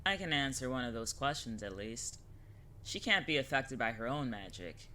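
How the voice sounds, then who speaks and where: A woman's voice: extremely low pitch, expressive, moderate pace, sharp tone, heavily acted. A woman playing a wry, teasing older witch mentor in an audio drama, talking in a casual, slangy way. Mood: gentle, serious. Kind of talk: scripted audio drama acting.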